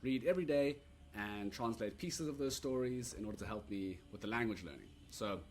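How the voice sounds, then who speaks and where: A man giving a relaxed, rambling walkthrough of an app he built, full of "uh" and "so yeah" fillers. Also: on the low side, fairly quick. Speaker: app creator demoing his product. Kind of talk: casual screen-recorded product demo. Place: at a computer recording a screen demo.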